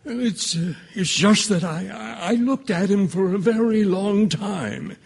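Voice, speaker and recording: A frail old juror in a stage-style audio drama. His voice is high for a man and very gravelly. He speaks slowly and haltingly, stammering with gentle earnestness. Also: exaggerated voice. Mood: halting, sorrowful. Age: older. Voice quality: thin, creaky, husky.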